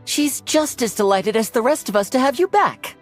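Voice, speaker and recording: A woman's voice: on the high side, lively intonation, normal speed, soft background music. An actress playing a polite, gracious young woman in a video game, with a courteous, poised manner.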